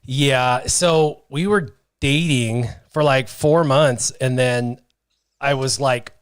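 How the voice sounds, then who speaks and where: A man's voice: mid-range voice, slow, smooth, dry recording. A man in his thirties hosting a casual livestream chat, telling stories animatedly and reading viewer comments from a small studio on a stand mic. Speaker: host. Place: small studio with brick wall. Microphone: studio mic on stand, headphones.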